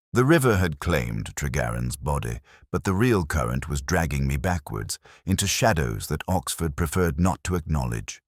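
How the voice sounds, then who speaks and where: A man reading a scripted first-person detective story in a measured, literary, brooding manner. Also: rumbling low, no room sound, studio quality. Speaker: narrator.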